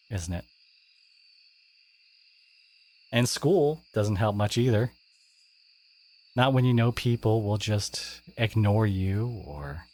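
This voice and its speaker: Low-voiced, sardonic teenage school bully played by a man. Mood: weary, confiding. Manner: halting, soft-edged, sardonic, confiding. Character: gruff teenage school bully, secretly wounded and caring. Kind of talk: intimate audio roleplay monologue.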